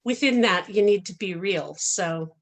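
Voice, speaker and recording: A woman who acts in radio plays, giving casual, thoughtful and halting answers at a Q&A. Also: medium pitch. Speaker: radio play voice actor. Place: talk before a live audience.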